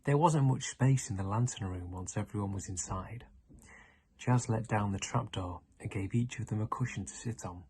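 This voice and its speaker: A man's voice: on the low side, moderate pace, darkish tone, slight background noise. A man reading a children's story aloud as narrator. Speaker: audiobook reader.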